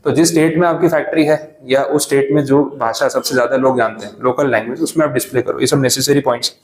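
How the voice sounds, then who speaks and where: An Indian man teaches a class in a roomy, echoing space. His pitch sits in the middle range. He chats through his points with everyday asides, then slows right down to read legal wording. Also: fairly even intonation. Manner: chatty, explains with everyday asides, reads legal text slowly. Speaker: lecturer. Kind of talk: classroom lecture, Hindi-English mix. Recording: echoey classroom.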